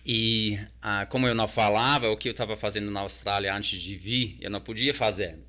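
A man with a fairly low but bright, forward voice, speaking as a talker. He chats casually as an interview guest, hesitant and often restarting with repeated words. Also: fairly even intonation, fairly quick. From New Zealand. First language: English. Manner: hesitant, repeats words while searching for Portuguese.

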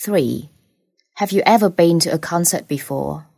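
Mellow woman reading exam questions. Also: lowish voice, normal speed, creaky, no echo, clean studio sound. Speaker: examiner.

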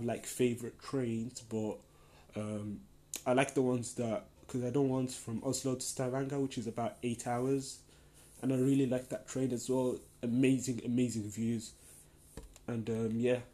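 A man's voice: mid-range voice, dark tone. A young man who grew up in Denmark, hosting a casual solo podcast Q&A and talking in a rambling, enthusiastic way full of fillers. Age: young adult. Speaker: podcast host.

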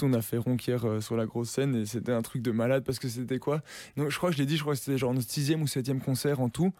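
A man's voice: medium pitch, dry recording. A young man, a musician chatting casually in an interview, loose and slangy with lots of fillers. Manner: relaxed slangy talk with many fillers and restarts. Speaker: musician guest.

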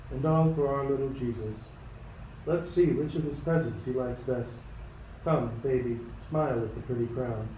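A man's voice: medium pitch, warm tone, close to an everyday voice. A man playing a wonderstruck, doting onlooker in an amateur radio play, admiring and coaxing. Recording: echoey, distant, faintly noisy room.

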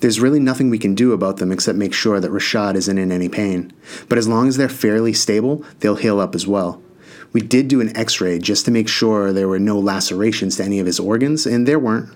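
A man voicing an upbeat hospital doctor who calmly explains a patient's injuries in a reassuring way. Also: on the low side, fairly quick, lightly acted.

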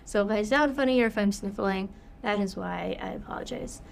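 A woman's voice: medium pitch, fairly quick, creaky, slight background noise. A young woman co-hosting a podcast, talking in a casual, upbeat way while she has a cold. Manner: upbeat, thinking aloud with fillers. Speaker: podcast co-host, yoga teacher.

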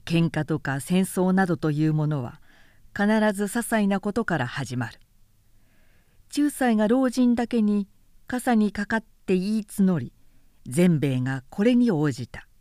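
A woman reads literary narration aloud in a measured, articulate way. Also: mid-range voice, lively intonation, warm tone, no room sound, clean studio sound. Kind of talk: scripted literary narration, audiobook reading.

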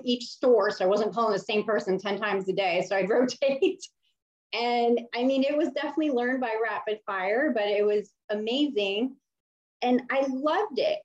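Woman from Miami, chatty, animated storyteller, many fillers, slightly muffled audio. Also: medium pitch. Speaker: HR leader, guest. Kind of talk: casual interview storytelling.

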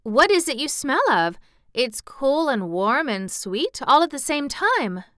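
A woman voicing an excitable, sickly young boy who asks eager, wondering questions. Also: a little high, normal speed, clear, resonant tone, dry recording, studio quality, voice pushed up. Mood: delighted.

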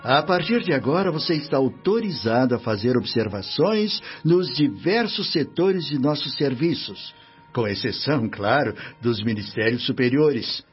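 An actor with a Brazilian accent playing a benevolent, authoritative spirit minister in a radio drama, speaking in a formal, instructive, measured manner. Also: male voice, medium pitch, expressive, natural voice. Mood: kindly formal. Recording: dull radio recording, faint music.

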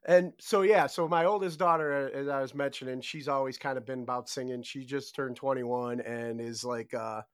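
A man's voice: medium pitch, steady tone, moderate pace, hardly any pauses, clear, resonant tone, no room sound. Middle-aged male podcast host, dry sound. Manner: loose, rambling questions with "you know" fillers. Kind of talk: casual interview chat.

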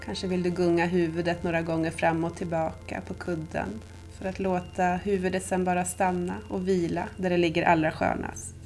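Calm, lower-voiced woman guiding meditation. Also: soft background music. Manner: calm, unhurried, soothing, with long pauses. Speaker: yoga nidra instructor. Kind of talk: guided meditation narration.

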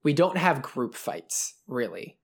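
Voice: medium pitch, big pitch swings, slow pace, gravelly, slightly rough. A podcast co-host in a casual film review chat, rambling and analytical with many fillers.

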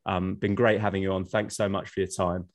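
A man's voice: sits low, little pitch movement, brisk. A man hosting a casual podcast interview over a video call, mostly short agreeing remarks and friendly wrap-ups. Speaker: podcast host.

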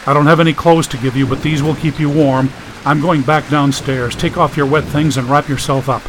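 A man's voice: fairly high pitch, fairly even intonation, a little fast, background noise, close to an everyday voice. A man voicing a guarded, authoritative man in an audiobook, speaking tersely. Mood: guarded, practical. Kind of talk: audiobook character voice.

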